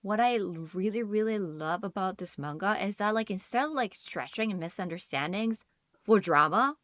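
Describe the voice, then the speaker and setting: A woman's voice: mid-range voice, animated, normal speed, a bit bright, no room sound, studio quality. A woman co-hosting a podcast, discussing things in a casual, conversational way peppered with "like" and "you know". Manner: frequent hedging with "like" and "you know". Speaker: podcast co-host.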